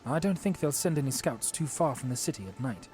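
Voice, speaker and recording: A man playing a courteous, watchful young knight commander in an audio drama, speaking formally and earnestly in measured phrases. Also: medium pitch, sing-song, a little mellow, faint music behind, lightly acted. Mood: cautioning.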